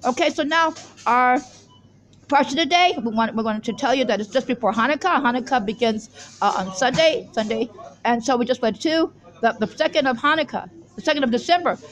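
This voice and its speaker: High-pitched woman, insistent and repetitive. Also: brisk. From Israel. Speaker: self-styled religious teacher introducing the weekly Torah portion. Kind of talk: rambling unscripted monologue.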